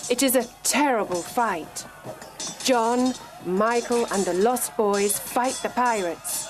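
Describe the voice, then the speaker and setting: Slow, measured female story narrator. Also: on the high side, music in the background. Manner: deliberate, carefully enunciated. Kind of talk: scripted children's story narration for English learners.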